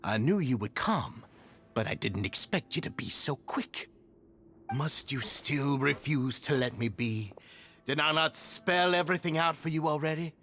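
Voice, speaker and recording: An actor playing a fanatical, resentful prison gang boss in a video game, sneering and theatrical. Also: male voice, fairly high pitch, animated, a little slow. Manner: theatrical, sneering, prone to shouting. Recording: game audio with music behind.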